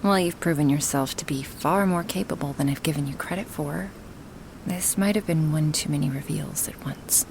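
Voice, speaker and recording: A woman voicing a gentle, affectionate dragon woman in an intimate ASMR roleplay, breathy and hesitant, often slipping into whispers. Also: deep voice, noisy background. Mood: hesitant, gently frank. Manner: slow, hesitant, with sighs and pauses. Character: gentle dragon woman, awkward, affectionate toward her human. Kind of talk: ASMR roleplay, spoken softly and intimately to the listener.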